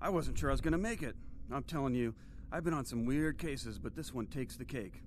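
A man's voice: mid-range voice, lively intonation, brisk, a little hiss or hum, natural voice. An actor playing a rattled, streetwise captive bounty hunter in a video game, with a worked-up, slangy tough-guy manner. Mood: relieved, grateful.

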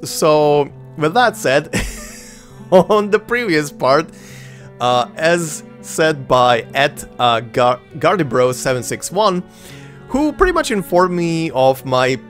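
A man's voice: mid-range voice, fairly bright tone. A man in his thirties whose first language is Croatian, chatting casually and rambling as he hosts a let's play. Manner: chatty, rambling, self-correcting with many fillers. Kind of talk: casual gameplay commentary. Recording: faint game music behind.

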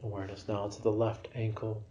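A man guiding a yoga nidra relaxation for sleep in a gentle, unhurried, soothing manner. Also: on the low side, flat delivery, a little hiss or hum. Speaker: meditation guide.

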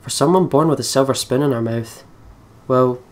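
A man's voice: medium pitch, normal speed. Man reading a story aloud. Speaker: let's play co-host reading aloud. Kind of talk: expressive read-aloud of visual novel narration.

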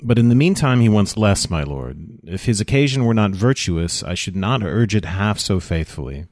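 Man as low-voiced, earnest servant. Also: expressive, moderate pace, a little mellow, natural voice. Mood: earnest deference. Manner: respectful, earnest. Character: deferential, earnest male servant of a lord. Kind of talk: unrehearsed Shakespeare play reading.

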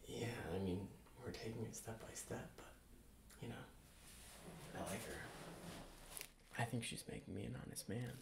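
A young man playing quietly sparring tennis rivals in a hushed, understated, teasing ASMR reenactment. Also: mid-range voice, fairly even intonation, normal speed, long pauses, close to an everyday voice. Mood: hushed suspicion. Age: young adult. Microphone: desk microphone, close. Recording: clearly noisy close-mic recording, crinkling.

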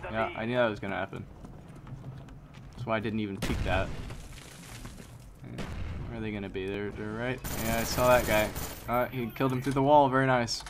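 A man giving live commentary on his shooter gameplay, chatty and talking to himself, sometimes yelling at the game, his voice getting strained. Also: on the low side, steady tone, normal speed, long pauses, background noise. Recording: home mic under loud game gunfire.

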